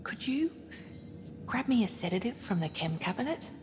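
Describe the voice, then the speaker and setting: An actress playing an earnest, suspicious scientist aboard a spaceship in a cinematic video game. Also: female voice, on the high side, big pitch swings, moderate pace. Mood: brisk, secretive. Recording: long reverberant hall, music behind.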